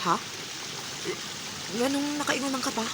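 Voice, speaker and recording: An earnest young Cebuano daughter. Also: female voice, high-pitched, fairly even intonation, unhurried, over loud music, low-quality recording. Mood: nervous, confessing. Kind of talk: radio drama acting.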